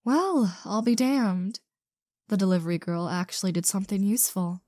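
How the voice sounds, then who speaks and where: A woman playing a haughty, sardonic actress in an audio drama, speaking in a cutting, deliberate, condescending manner. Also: mid-range voice, a little mellow, no room sound, clean studio sound, natural voice. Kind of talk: scripted ASMR audio drama.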